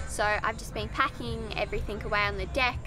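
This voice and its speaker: A woman's voice: medium pitch, fairly even intonation, a little fast, music in the background, rough recording. A sixteen-year-old young woman chatting casually and informally to camera in a meandering way, recorded outdoors on a sailboat. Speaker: sailing vlogger. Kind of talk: casual vlog chat to camera. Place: outdoors on a sailboat deck at sea.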